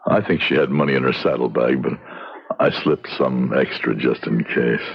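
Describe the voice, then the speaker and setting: An actor playing an earnest, compassionate frontier judge in a radio drama, speaking in a formal, emphatic way. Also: male voice, very deep voice, fairly even intonation, moderate pace, husky, heavily acted. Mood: compassionate, solemn. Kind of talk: radio drama acting and narration. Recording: slightly dull radio drama recording.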